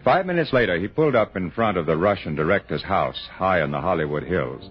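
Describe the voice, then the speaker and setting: An actor playing a wry storyteller, narrating a radio thriller in an unhurried manner. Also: male voice, deep voice, animated. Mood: wry, suspenseful. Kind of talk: 1960s radio drama scripted narration. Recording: muffled old radio broadcast, musical backing. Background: music.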